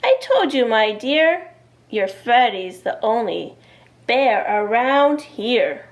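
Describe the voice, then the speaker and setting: A woman voicing a brisk, dismissive mother of a small boy in a children's picture book, reassuring and emphatic. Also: a little high, big pitch swings, dull audio, heavily acted. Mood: disbelieving.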